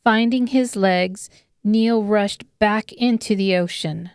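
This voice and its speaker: Female narrator for dramatic fiction audiobook. Measured pace, resonant tone, very dry sound. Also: medium pitch, smooth, no echo. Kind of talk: scripted dramatic story narration.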